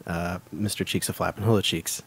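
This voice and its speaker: A man's voice: sits low, fairly even intonation, fairly quick, vocal fry, a bit bright, slightly rough, no room sound. A man hosting a casual gaming podcast, playful and announcer-like as he introduces his co-hosts. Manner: playful announcer-style host. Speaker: podcast host.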